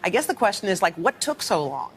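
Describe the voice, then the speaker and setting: A woman's voice: medium pitch, big pitch swings, a little fast, a little hiss or hum. A woman anchoring a morning show, asking direct questions in a conversational style. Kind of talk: live studio questioning.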